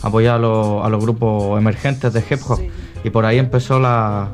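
Easygoing Chilean rapper, music behind. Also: male voice, lowish voice, flat delivery, normal speed. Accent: Chilean Spanish. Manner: easygoing, says "yeah, man". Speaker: guest rapper. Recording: radio studio with loud music bed.

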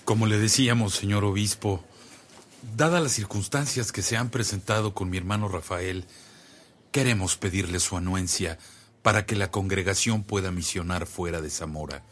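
An actor playing an earnest, caring priest in a radio drama, with a measured, respectful delivery. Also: male voice, low-pitched, fairly bright tone. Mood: polite, resigned. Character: earnest, caring priest, loyal brother in faith.

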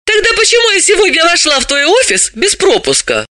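Man acting self-assured woman sleuth, high lifted pitch, very bright tone, businesslike, mocking, audiobook dialogue. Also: sing-song, normal speed, no echo, studio quality, full character voice. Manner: businesslike, emphatic, explaining. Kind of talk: audiobook character voice.

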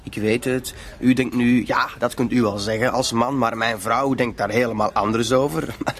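A man's voice: mid-range voice, fairly even intonation, normal speed, slight background noise, lightly acted. A man playing a self-assured blackmailer in a radio drama, with a mock-polite, insinuating way of talking. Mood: smug, soothing.

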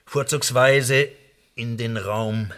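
An actor in a radio play voicing a smug French spymaster who blackmails with mock friendliness and taunting, theatrical emphasis. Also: male voice, medium pitch, fairly even intonation, slow, noisy background, close to an everyday voice.

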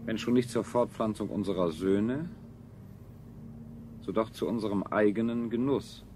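An actor as a male technocrat scientist in a science fiction radio play, low-pitched and clinical. He states things with pompous, deliberate precision and cold superiority. There is faint noise on the old recording. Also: natural voice. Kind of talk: 1970s German radio drama acting.

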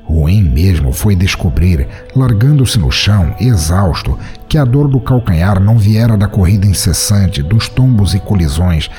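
A Brazilian man hosting a podcast and narrating scripted fiction in an expressive, theatrical way. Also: deep voice, unhurried, few pauses, soft background music. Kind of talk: dramatic scripted short-story narration.

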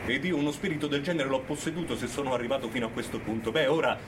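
A man's voice: medium pitch, fairly even intonation, rapid-fire, noisy background, lightly acted. An actor playing a bitter, defiant condemned prisoner in a theatrical radio monologue, declamatory and mocking toward his jailers. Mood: grave, reflective. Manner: declamatory, scornful, swinging from hushed narration to shouting.

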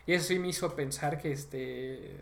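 A man's voice: fairly high pitch, moderate pace, creaky, a bit bright. A young man with a Mexican accent chatting casually as a podcast host about video games, using slang and frequent swearing.

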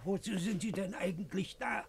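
A man's voice: high-pitched, normal speed, vocal fry, a little hiss or hum. An actor playing a frightened, exhausted old man who broods plaintively in a 1950s German radio drama. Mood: plaintive, weary. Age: older. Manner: plaintive, brooding, repeating himself.

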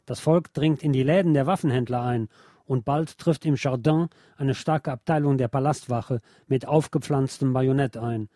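A man reading historical accounts as scripted radio narration in a measured, literary manner. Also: medium pitch, dark tone, no echo, clean studio sound.

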